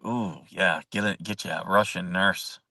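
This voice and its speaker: A man's voice: low voice, steady tone, a little slow, pushed and bright, dry recording, studio quality, distant mic. A man hosting a casual live chat show, rambling, chuckling often and answering viewer comments as he goes. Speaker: host.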